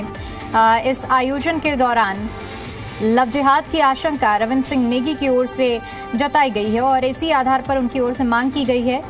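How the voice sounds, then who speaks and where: Female news anchor, high voice, explanatory live bulletin delivery, prominent music bed behind. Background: background music.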